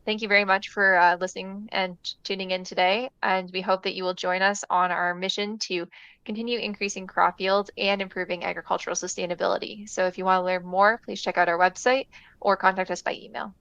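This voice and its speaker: A woman with a smooth, clear voice delivers a steady, rehearsed startup pitch. The sound is dry and a little dull, like a remote recording. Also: a little fast, no room sound. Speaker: startup CEO presenting. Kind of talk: scripted pitch read-out.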